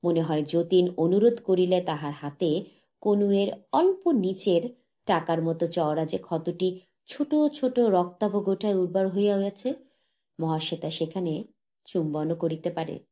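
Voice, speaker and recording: Low, mellow woman narrating literature. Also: a little slow. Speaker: audiobook narrator. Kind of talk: literary short story narration.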